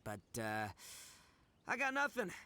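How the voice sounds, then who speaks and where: Slow, bright-voiced brash young man. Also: high-pitched, wildly swooping pitch, slight background noise, full character voice. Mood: awkwardly sentimental. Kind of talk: video game voice acting.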